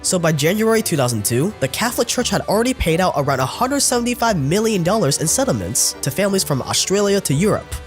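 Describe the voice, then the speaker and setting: Lively sarcastic male narrator, a bit sick. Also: sits high, fast talker, few pauses, soft background music. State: sounds sick. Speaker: documentary narrator. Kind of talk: scripted documentary narration.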